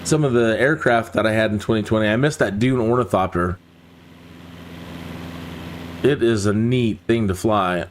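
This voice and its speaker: A man's voice: lowish voice, moderate pace, long pauses, a little hiss or hum. A man hosting a flight simulator livestream, chatting casually and musing aloud as he flies. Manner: musing, speaks thoughts aloud while flying. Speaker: livestream host. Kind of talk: casual chat while flying a sim.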